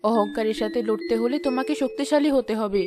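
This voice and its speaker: A woman voicing a gentle, wise forest fairy in a cartoon fairy tale, speaking earnestly. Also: fairly high pitch, fairly quick, music in the background, natural voice. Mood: wise, encouraging.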